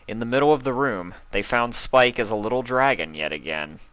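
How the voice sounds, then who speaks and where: A man narrating a fan fiction story in a calm, steady storytelling manner. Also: mid-range voice, a little fast, smooth, no room sound, poor audio. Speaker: reader.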